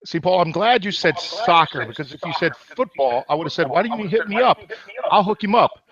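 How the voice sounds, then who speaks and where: A man's voice: high-pitched, animated, fairly quick, muffled recording, crowd noise. A man hosting a weekly webinar call, asking questions in a jokey, hyped-up way and calling his guest "my man.". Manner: jokey, hype-driven, calls the guest "my man". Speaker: webinar host, interviewer. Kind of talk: webinar interview.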